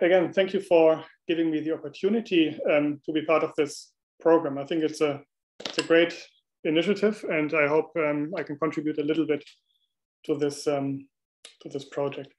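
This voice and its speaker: A man from Germany with a German accent, presenting a mathematics webinar talk over a video call in a relaxed, friendly way with some fillers. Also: on the high side. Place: indoor room, on a video call. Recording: roomy echo on a video call.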